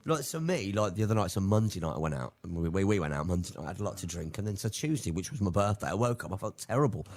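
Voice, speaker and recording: A man's voice: low-pitched, big pitch swings, very fast, a little mellow. Laid-back man in his forties. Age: 40s. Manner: laid-back, jokey, trails off into mumbles. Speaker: radio show co-host. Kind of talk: casual late-night radio banter. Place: radio studio.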